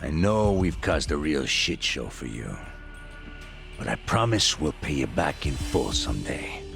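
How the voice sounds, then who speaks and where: A man playing a stern, commanding yakuza lieutenant with deliberate, formal delivery in a video game cutscene. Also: a bass voice, sing-song, creaky, over music, exaggerated voice. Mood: solemn, apologetic.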